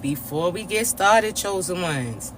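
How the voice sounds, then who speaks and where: A man with a raspy, congested voice, giving a spiritual reading to his viewers in a slow, repetitive preaching way, often checking in with his listeners. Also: high-pitched, animated, a bit bright, slight background noise, poor audio. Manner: slow, repetitive preaching with frequent "all right?" check-ins. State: congested, stuffy nose. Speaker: spiritual reader and coach.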